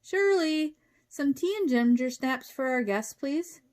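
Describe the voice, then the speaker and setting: A woman voicing a kindly, calm little male professor with a British accent and quaintly proper manners, in a storybook reading. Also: medium pitch, normal speed, close to an everyday voice. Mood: genial, curious.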